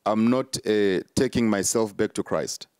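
A man's voice: on the low side, unhurried, darkish tone, smooth, dry recording. Dry-voiced preacher man. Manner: emphatic, repetitive preacher cadence with many fillers. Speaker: prophet being interviewed. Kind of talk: sermon-like interview answers.

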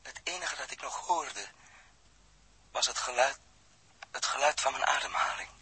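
An actor playing a grave, brooding stranded astronaut in a radio drama, speaking haltingly and intensely in a husky, breathy voice. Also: male voice, mid-range voice, lively intonation, sharp tone, full character voice. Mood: awed, haunted. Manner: halting, intense, pausing between phrases. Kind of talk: 1960s radio drama acting.

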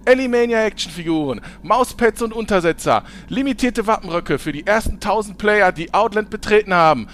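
A man's voice: high voice, lively intonation, fairly quick, hardly any pauses, a little hiss or hum. A man doing a pushy guild souvenir huckster. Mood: hawking. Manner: loud huckster sales patter. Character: pushy male guild merchant hawking souvenirs. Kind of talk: amateur radio comedy acting.

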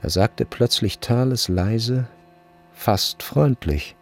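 A man's voice: mid-range voice, slow, faint music behind. A man narrating a literary radio drama in a sober, scripted manner.

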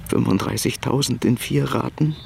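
An actor playing a forlorn radio presenter with a creaky voice in a radio drama. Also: male voice, mid-range voice, normal speed, a crowd in the background. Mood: mournful. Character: forlorn male radio presenter mourning his sports car.

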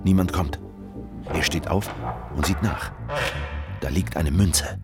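A hardened rebel veteran with a very deep voice for radio drama. He sounds grim and determined, terse and intense. Music plays clearly behind him. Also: lively intonation, takes it slowly, heavily acted. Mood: suspenseful. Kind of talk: German radio drama acting and narration.

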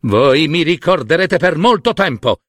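A man plays an old woman spirit from a storybook, solemn and forgiving. The delivery is slow and deliberate, with swooping intonation that gently admonishes. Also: fairly high pitch, lively intonation, dry recording, studio quality. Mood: stern.

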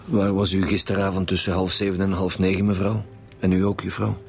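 An actor playing a gruff, blunt chief homicide inspector with a Flemish accent in a radio drama. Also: male voice, low voice, little pitch movement, fairly quick, darkish tone, soft background music. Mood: formal, questioning. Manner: blunt, businesslike. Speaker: detective. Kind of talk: naturalistic radio drama acting.

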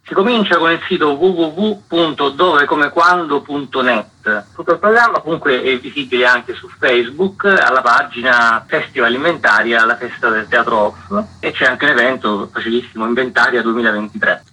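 Guy being interviewed, really sharp forward voice, bit raspy, explaining stuff off the cuff. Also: medium pitch, normal speed, hardly any pauses, low-quality recording. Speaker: interview guest, theatre festival artistic director. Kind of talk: spontaneous explanatory interview answers.

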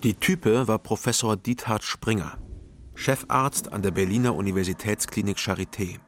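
Actor as deep-voiced, laconic detective narrator. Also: male voice, fairly even intonation, moderate pace, slight background noise, lightly acted. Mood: dry, reflective. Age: 30s. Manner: dry, reflective, laconic. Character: thirty-year-old sober, lonely private detective narrating. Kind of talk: scripted first-person radio drama narration. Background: music.

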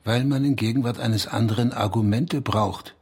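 An actor in a German radio drama playing a brooding, self-destructive writer and gruff lover, with a husky voice. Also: male voice, medium pitch, normal speed, a little mellow. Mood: agitated, defensive. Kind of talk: intimate German radio drama acting, part poetry.

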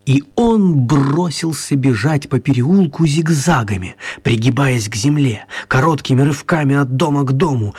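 A man narrating a children's radio play as an expressive, mock-serious storyteller. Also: medium pitch, slightly rough, dry recording.

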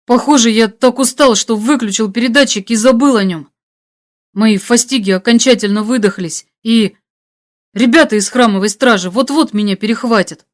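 A woman's voice: on the high side, normal speed, natural voice. A woman voicing a hunted, exhausted young man in an audiobook, tense and hesitant, anxious but brave. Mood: weary, worried. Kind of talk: audiobook character dialogue.

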